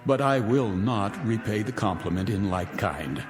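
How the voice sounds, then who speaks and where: Vindictive sophisticate, mocking, grandiloquent, widely swooping intonation, reverberant vintage TV drama with music behind. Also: male voice, fairly low pitch, animated, a little slow. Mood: smooth, imperious. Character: vindictive, sardonic rich man taunting his guests. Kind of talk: 1960s television drama acting.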